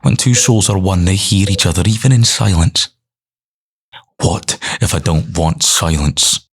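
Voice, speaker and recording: A man's voice: sits low, measured pace, husky, exaggerated voice. A man acting a bitter, despairing, defiant character in an audio drama. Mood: brooding, cynical. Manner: brooding, profane, defiant. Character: bitter, despairing suicidal man narrating his own story.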